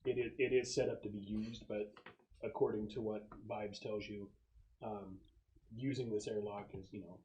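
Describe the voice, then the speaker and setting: A man acting as game master, casually narrating scenes and explaining rules in a halting, think-aloud way. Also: on the low side, steady tone, dark tone, dull audio, a little hiss or hum.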